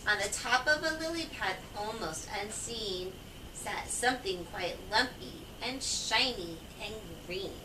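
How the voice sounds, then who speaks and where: A woman reading a rhyming children's story aloud in measured, singsong verse. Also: mid-range voice, lively intonation. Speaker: storybook reader. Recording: echoey, distant, noisy home recording.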